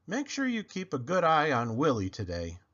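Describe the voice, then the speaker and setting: A man's voice: fairly high pitch, huge pitch swings, moderate pace, a bit bright, dull audio, heavily acted. A man voicing a firm, polite, fussy mother in a children's storybook, with a pleading, emphatic manner.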